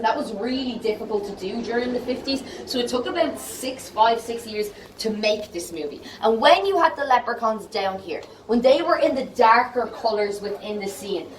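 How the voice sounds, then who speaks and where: An Irish woman with a fairly high voice leads a tour, talking to her group in a chatty way and checking in with them often. She sounds far from the camera, and the museum room around her echoes heavily. A faint hiss runs underneath. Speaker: tour guide. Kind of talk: guided tour talk to a group. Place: indoor museum exhibit.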